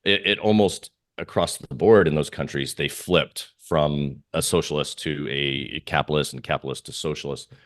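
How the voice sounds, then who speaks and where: Very deep-voiced man, dry close sound. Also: steady tone, moderate pace, hardly any pauses, no echo. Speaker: trader guest being interviewed. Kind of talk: casual interview talk on markets.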